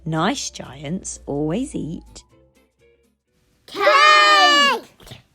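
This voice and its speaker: A woman's voice: high-pitched, huge pitch swings, drawn-out words, over loud music, pitched above the performer's own voice, heavily acted. A woman voicing an eager, sweet-natured young child in a storybook, coaxing and pleading. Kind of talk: storybook character voices.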